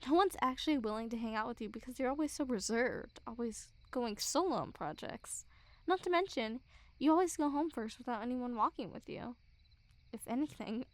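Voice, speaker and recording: High-pitched teasing bully girl, acted by a woman. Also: animated, moderate pace, dry recording, lightly acted. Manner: mocking, teasing, giggly. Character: teasing, smug school bully girl, secretly a little caring. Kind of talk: ASMR girlfriend roleplay, intimate one-sided dialogue.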